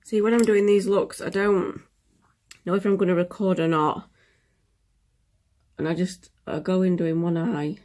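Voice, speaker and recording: A woman's voice: lowish voice, fairly even intonation, unhurried, pauses often, warm tone. A young woman, chatting casually through a makeup tutorial, sniffly from a cold and often dropping to a whisper as she works. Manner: chatty, self-deprecating, drops into whispers while working.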